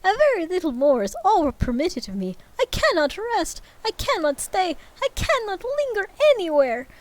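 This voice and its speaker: A woman voicing a mournful, weary old male ghost bound in chains, declaiming in a high, wailing way while reading a storybook aloud. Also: sing-song, normal speed. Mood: lamenting.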